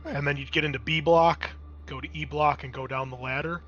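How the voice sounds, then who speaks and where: A man's voice: fairly high pitch, fairly even intonation, fairly quick, a bit bright, dull audio. A man acting as game master, explaining a tabletop roleplaying scene in a casual, conversational way.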